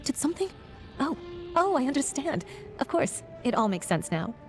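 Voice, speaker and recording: A woman's voice: high voice, very animated, normal speed, faint music behind. A sweet motherly game shopkeeper, sympathetic, voice goes up and down a lot. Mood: tender, concerned. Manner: tender, sympathetic. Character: kindly, motherly female townswoman shopkeeper.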